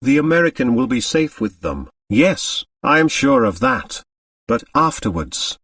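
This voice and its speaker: A man voicing a shrewd, mercenary Russian woman in an audiobook, composed and measured, showing little emotion. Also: mid-range voice, very animated, fairly bright tone, husky, dry recording, clean studio sound, far from the mic, exaggerated voice. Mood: thoughtful. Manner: measured, composed, matter-of-fact.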